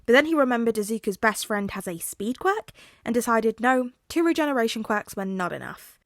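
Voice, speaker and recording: A woman's voice: a little high, animated, a little fast, no room sound. Woman narrating fiction, dry close sound. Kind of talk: scripted story narration.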